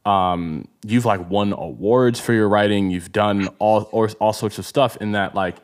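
A man hosting a casual interview, halting as he circles toward his questions and quick to affirm. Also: on the low side, smooth. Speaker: podcast host, interviewer.

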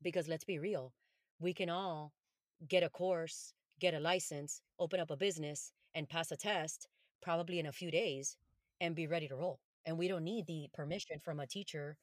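A woman's voice: low-pitched, steady tone, normal speed, dry recording, studio quality. A woman from Colombia whose first language is Spanish, speaking earnestly and encouragingly as a podcast interview guest.

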